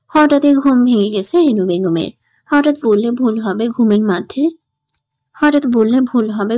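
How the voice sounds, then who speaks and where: A woman's voice: on the high side, mellow, dry recording. A woman narrating a scripted dramatic story as its storyteller.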